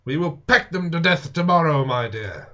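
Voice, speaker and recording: A man voicing a confident, complacent Victorian gentleman in an audiobook, pompous and emphatic in his measured delivery. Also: fairly high pitch, animated, highs cut off, pitched above the performer's own voice. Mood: smug reassurance.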